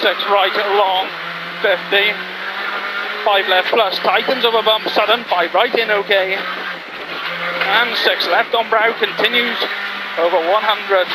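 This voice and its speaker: A man's voice: high-pitched, fairly even intonation. Man calling rally pace notes. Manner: clipped rapid-fire calls. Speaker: rally co-driver. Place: inside a rally car on a forest stage. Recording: in-car intercom over loud engine. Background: loud engine and road noise.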